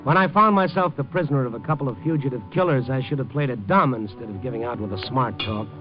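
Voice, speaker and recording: A muffled 1950s radio drama recording with faint music. A man acts a tough, wisecracking newsman with a middling pitch, sardonic and slangy. He delivers clipped noir narration and probing questions. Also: fast, lightly acted. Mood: wry narration. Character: wisecracking, hard-boiled male reporter, adult, tough and probing.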